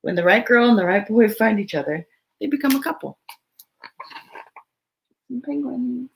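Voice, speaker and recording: Creaky-voiced woman reading a children's story. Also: a little high, expressive, moderate pace, slight background noise, rough recording. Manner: child-directed, simple, emphatic storytelling with chatty asides. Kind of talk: expressive picture-book read-aloud with casual asides.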